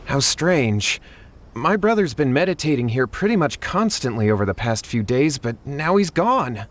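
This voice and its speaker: An actor playing an anxious, deferential young villager in a video game, earnest and stammering. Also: male voice, mid-range voice, sing-song, moderate pace, a little hiss or hum, close to an everyday voice. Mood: worried. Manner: stammering, earnest, eager to please.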